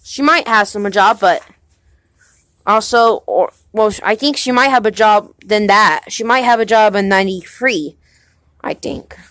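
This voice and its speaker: A young man playing a halting nineteen-year-old husband. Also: sits high, sharp tone, no echo. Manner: hesitant, halting, many restarts. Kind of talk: amateur home movie acting.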